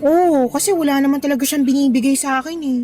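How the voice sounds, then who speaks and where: A woman's voice: high voice, fast, warm tone, soft background music, natural voice. An actress playing a meek, devoted young Filipino girlfriend in a radio drama, speaking in a plaintive, hesitant way. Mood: defensive, hurt.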